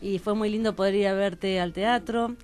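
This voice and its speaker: A woman's voice: mid-range voice, fairly even intonation, normal speed, a little mellow. A woman with an Argentine accent, a radio columnist introducing guests in an informal, affectionate conversational style.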